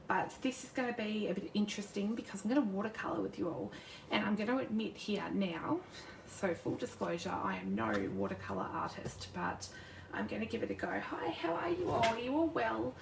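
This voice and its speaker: A woman with a croaky sore throat chatting casually and rambling as she demonstrates crafting live, greeting viewers by name. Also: mid-range voice, noisy background. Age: adult with a son. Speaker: crafting demonstrator, youth worker. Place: home craft desk. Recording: roomy distant mic at a craft desk.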